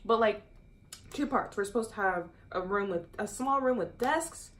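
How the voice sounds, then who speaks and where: A woman's voice: medium pitch, normal speed. Chatty young woman telling a story. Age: young adult. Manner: chatty and animated, says "dude" and "exactly" often. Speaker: vlogger. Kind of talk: casual storytime chat.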